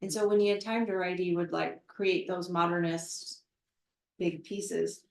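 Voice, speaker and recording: Halting woman talking in an echoey room. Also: lowish voice, fairly even intonation, gravelly. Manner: thoughtful and halting, with many fillers and restarts. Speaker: literary translator, interview guest. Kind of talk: live conversation at a public book talk. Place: event room at a literary center. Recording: roomy echo, voice from far off the mic.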